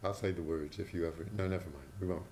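Older male host, hoarse and deep, hesitant asides, faint room hiss from a studio mic. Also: steady tone, rapid-fire, a little mellow. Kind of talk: casual studio chat. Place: small TV studio, indoors.

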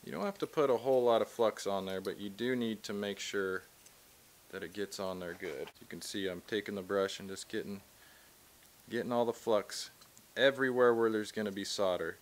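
A man's voice: on the low side, normal speed, fairly bright tone. Casual man demonstrating a trade skill. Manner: casual, practical, explains while working with his hands. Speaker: tradesman instructor. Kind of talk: hands-on how-to demonstration. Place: large indoor workshop with shelving.

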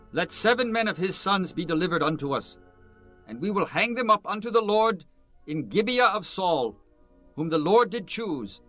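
High, sharp, vengeful tribesman. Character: aggrieved Gibeonite man, stern and vengeful spokesman. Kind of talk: dramatized scripture reading.

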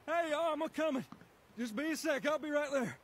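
A man's voice: extremely high pitch, fairly quick, a little hiss or hum. A man voicing a clumsy, friendly puppet mailman with a Southern American accent and a folksy, stammering, rambling way of talking. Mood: cheerful, flustered.

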